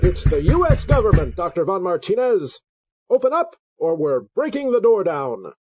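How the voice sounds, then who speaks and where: A man playing a high-voiced, pompous government agent. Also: huge pitch swings, moderate pace, darkish tone, breathy edge, heavily acted. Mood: commanding. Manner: pompous, declamatory. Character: overblown, self-important government agent hero hunting villains. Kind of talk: comic audio drama acting. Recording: dull lo-fi audio, music behind.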